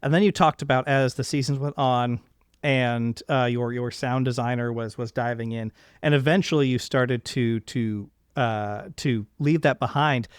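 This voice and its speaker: A man's voice: mid-range voice, steady tone, moderate pace, clean tone, no echo, studio quality. A man hosting a podcast interview in a casual way, thinking aloud as he builds and rephrases his questions.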